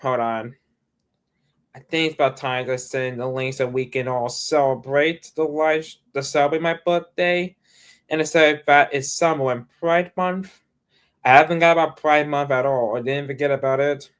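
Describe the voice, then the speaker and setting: A man's voice: fairly high pitch, steady tone, moderate pace, fairly bright tone, clear, resonant tone. A young man chatting casually on his livestream, rambling and restarting his sentences. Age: young adult.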